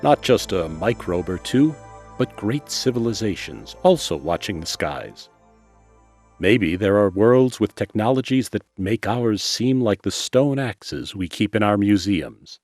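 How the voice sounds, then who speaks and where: Man narrating dramatically over faint music, expressive intonation. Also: lowish voice, a little slow.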